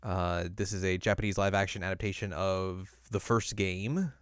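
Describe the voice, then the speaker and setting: Guy cohosting a podcast, smooth clear voice, casual and a bit wry, no room echo. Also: deep voice, normal speed, studio quality. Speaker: podcast co-host. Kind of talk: casual chat ranking video game movies.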